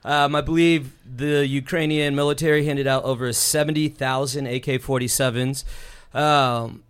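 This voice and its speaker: A man's voice: medium pitch, steady tone, normal speed, smooth. A man co-hosting a casual podcast who steers the conversation, laughs a lot and teases the others. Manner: leads topics, laughs often, playful teasing. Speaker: podcast co-host. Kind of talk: casual chat.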